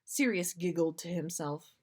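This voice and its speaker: A woman's voice: on the low side, a little slow, a little mellow. A woman reading fiction aloud as a storyteller and podcast host.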